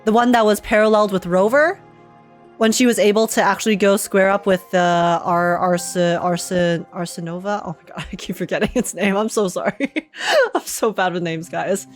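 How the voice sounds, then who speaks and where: Excitable woman streamer chatting over game music. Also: medium pitch, moderate pace. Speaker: streamer reacting to a video game story. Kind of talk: casual gaming livestream commentary.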